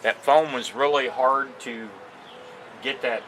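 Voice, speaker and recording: A man giving a casual, unscripted product demo outdoors, talking in a plain-spoken, halting way. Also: medium pitch, steady tone. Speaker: amateur product reviewer. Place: outdoors on a porch. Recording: noisy outdoor recording with ambient sounds.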